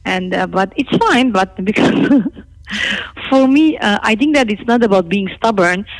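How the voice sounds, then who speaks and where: A middle-aged woman from Lebanon, a native Armenian speaker, talking candidly and emphatically about her own life as an interview guest, with a creaky voice. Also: medium pitch, expressive, unhurried, rough recording. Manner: outspoken, emphatic.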